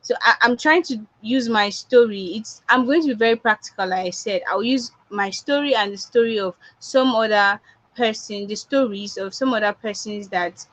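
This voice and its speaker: A woman's voice: mid-range voice, moderate pace, a bit bright. A woman with a Nigerian accent, a public speaking coach giving a motivational online talk and repeating her points for emphasis. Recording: dull lo-fi online audio.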